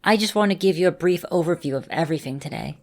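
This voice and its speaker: A woman plays a vampire mistress in an ASMR roleplay. Her voice sits on the low side, and she talks straight to the listener like a caring, composed teacher, choosing measured, formal words. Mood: calm, instructive. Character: female vampire mistress, caring, composed mentor, easily flustered. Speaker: voice actor in a roleplay audio.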